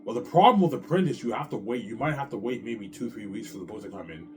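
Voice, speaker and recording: A man's voice: medium pitch, lively intonation, quick pace, faint music behind. A man talking casually and at length about his self-published book, boastful and repetitive, swearing now and then. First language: English. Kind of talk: casual rambling monologue.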